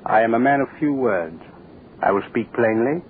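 A man's voice: medium pitch, expressive, normal speed, lightly acted. A man playing an imperious, menacing state dignitary in an old radio drama, curt, commanding and pompous. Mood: imperious, demanding. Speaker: actor in a radio play. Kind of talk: 1940s radio horror acting. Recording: tinny old radio recording, faint noise.

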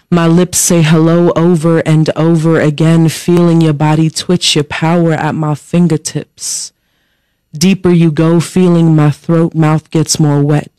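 A woman reading her own sensual poem aloud in a soft, unhurried way as a podcast guest. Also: sits low, no echo, low-quality recording. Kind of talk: slow sensual poetry reading.

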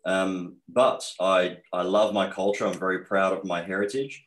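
A man's voice: low voice, fairly even intonation, moderate pace, a bit bright, reverberant. A man in his thirties with an Australian accent, a guest in a podcast interview, talking in an earnest, upbeat way with many fillers and restarts. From Australia, born in Egypt. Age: 30s.

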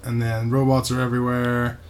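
A man's voice: medium pitch, monotone, fairly quick, a little hiss or hum. A man co-hosting a podcast, casually recapping an episode in a mocking, sweary way and often checking in with "right?".

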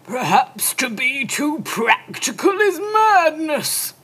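A woman's voice: high voice, big pitch swings, takes it slowly, fairly bright tone, exaggerated voice. A woman in an audio play acting a quixotic dreamer, theatrical and plaintive in manner. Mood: plaintive, dramatic. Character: quixotic dreamer lamenting lost glory of adventure.

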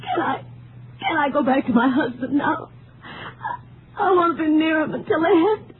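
A woman's voice: high-pitched, animated, moderate pace, narrow, tinny audio. An actress playing a grieving young newlywed wife, crying as she speaks in 1950s radio melodrama style. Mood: tearful grief. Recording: thin, noisy 1950s radio recording with hum.

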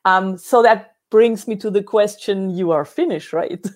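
A woman's voice: mid-range voice, measured pace, darkish tone. A woman hosting a casual conversational interview in a chatty, effusive way.

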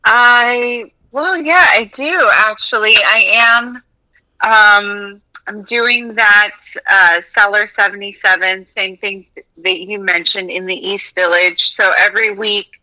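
Bright-voiced woman comedian guest. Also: mid-range voice, takes it slowly, poor audio. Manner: chatty, hesitant, plugs her shows. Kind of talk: casual podcast chat.

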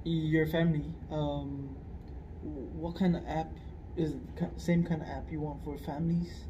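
A man's voice: fairly high pitch, flat delivery, a little slow, dark tone, highs cut off. A man hosting a casual podcast interview, asking questions and giving short encouraging replies. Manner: friendly, with short encouraging backchannels. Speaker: podcast host. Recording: clear background noise.

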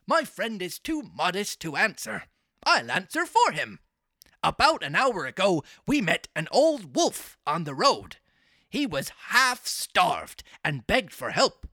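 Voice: mid-range voice, very animated, a little slow, no echo, clean studio sound, full character voice. A performer voicing a sly, wheedling con-artist fox in a storybook, theatrical and persuasive with false sympathy. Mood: oily, pious. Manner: theatrical, persuasive, mock-gentlemanly. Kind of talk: storybook character reading.

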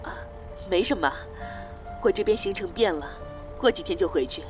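A woman playing a middle-aged mother in an audio drama, hesitant, soft-spoken and gently concerned. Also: medium pitch, expressive, moderate pace, over music, lightly acted. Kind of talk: Chinese audio drama acting.

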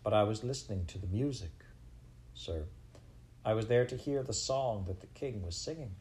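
A man voicing a small, gentle young male mouse in a storybook, soft, polite and earnest. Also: lowish voice, normal speed, natural voice.

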